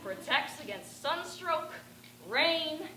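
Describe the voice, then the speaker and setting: A teenage girl playing an eccentric, rambling bag lady who talks to aliens, with a raspy voice and a ranting, confiding stage-monologue delivery. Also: sits high, very animated, slow pace, heavily acted. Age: teens. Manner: ranting, confiding, addressing passers-by. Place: stage performance. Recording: echoey room with background noise.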